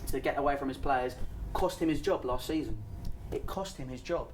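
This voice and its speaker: A man arguing football as a pundit in a heated, loud debate, pushing his points hard. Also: mid-range voice, a little fast, slight background noise, rough recording. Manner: argumentative, loud, talks over others. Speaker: Chelsea fan pundit.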